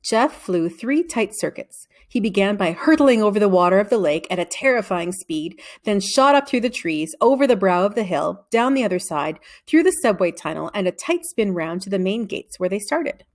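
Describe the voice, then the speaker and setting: A woman narrating a children's novel aloud in an expressive storytelling way. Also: medium pitch, moderate pace, few pauses. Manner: expressive storytelling with acted dialogue.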